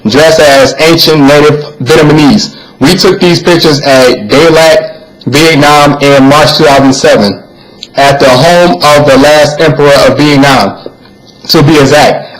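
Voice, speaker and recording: Male narrator with a higher-pitched voice reads text aloud plainly and earnestly, with the odd slip and repeat. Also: poor audio. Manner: plain, earnest reading with occasional stumbles and repeats. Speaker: video narrator. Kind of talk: reading text aloud over images.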